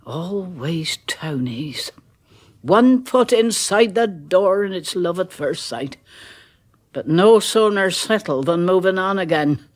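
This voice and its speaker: A woman's voice: lowish voice, lively intonation, takes it slowly. An actress playing an uneasy older village woman in a radio drama, talking in rustic dialect and often dropping to a whisper. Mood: ominous. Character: older village woman, a nervous, superstitious local. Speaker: village neighbour.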